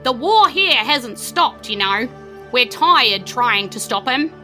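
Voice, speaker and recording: A woman voicing a tired, grieving man who keeps a workshop, speaking slowly and heavily. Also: on the high side, expressive, pushed and bright, soft background music, heavily acted. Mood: weary, sorrowful. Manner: slow, heavy, sorrowful.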